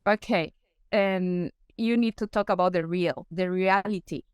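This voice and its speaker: A woman's voice: on the low side, clear, resonant tone. A Peruvian woman, a native Spanish speaker, who answers interview questions in careful English and often searches for the right word. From Peru. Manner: halting, searching for English words. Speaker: guest consultant, periodontist.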